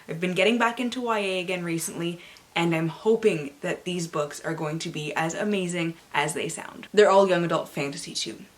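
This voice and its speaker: A woman presenting a book haul vlog, excitable and theatrical, with dramatic emphasis as she reads. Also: fairly low pitch, brisk. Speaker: book reviewer and vlogger.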